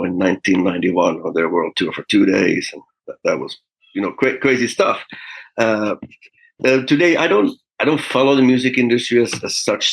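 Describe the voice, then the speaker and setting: A man in his fifties, a guest musician, telling stories in a relaxed interview with frequent fillers and small laughs. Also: lowish voice, gravelly, a little hiss or hum, low-quality recording. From Finland. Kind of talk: casual interview talk.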